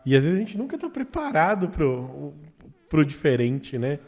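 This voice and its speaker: A man's voice: on the high side, big pitch swings, normal speed, darkish tone. A Brazilian man in his thirties hosting a podcast, joking and laughing easily with his guest. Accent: Brazilian Portuguese. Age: 30s. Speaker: podcast host. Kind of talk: casual podcast interview.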